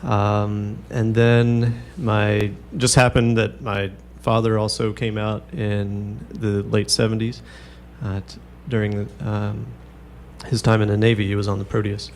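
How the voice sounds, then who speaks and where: A man as a doctor guest on a radio talk show, speaking haltingly with many fillers and restarts. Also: fairly low pitch, little pitch movement, a little hiss or hum. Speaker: neurologist guest. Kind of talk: radio interview.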